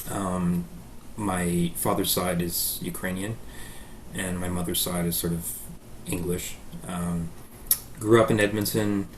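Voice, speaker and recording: A man's voice: low-pitched, level tone, takes it slowly, noisy background. A Canadian man in his thirties answering interview questions about his life, with frequent ums and uhs. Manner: hesitant, many ums and uhs. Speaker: guest pianist.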